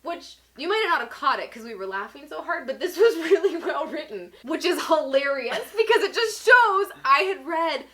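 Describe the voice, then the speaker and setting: A woman hosting a vlog, giving a theatrical, expressive reading of her own writing and chatting casually in a giggly way. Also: high voice, moderate pace, few pauses, slight background noise. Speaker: vlog host.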